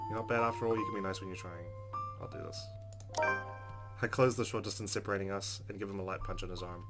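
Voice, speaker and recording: A man doing a let's play, reading a game's narration aloud in an expressive, measured, dramatic way. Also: deep voice. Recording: game music under the voice.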